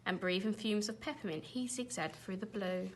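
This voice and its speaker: A woman teacher reading a children's storybook aloud in an animated, expressive way. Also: mid-range voice, normal speed, background noise.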